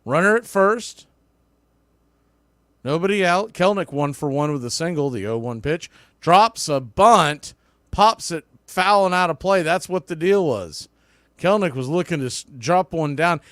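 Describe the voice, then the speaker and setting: A man doing live baseball radio play-by-play in short, clipped phrases, rising to excitement when the action calls for it. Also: on the high side, unhurried, no echo, studio quality. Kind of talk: live sports radio commentary.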